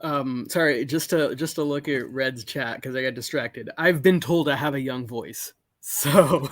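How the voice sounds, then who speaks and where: A young man calling in to a live show, talking in a halting way full of restarts and self-corrections. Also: mid-range voice, no room sound, clean studio sound. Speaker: caller. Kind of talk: casual call-in conversation. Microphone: call-in over a video call.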